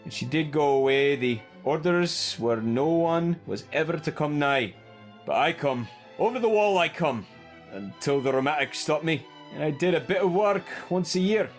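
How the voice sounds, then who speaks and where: A man playing a gruff but loyal old gardener in an audio drama, blunt and rustic. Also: fairly high pitch, animated, moderate pace, soft background music, close to an everyday voice. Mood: wistful. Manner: plain-spoken rustic, blunt.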